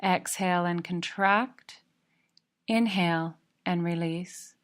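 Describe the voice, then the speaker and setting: Woman with slightly low voice doing guided meditation, calm n soothing, measured instructions. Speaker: yoga nidra relaxation guide.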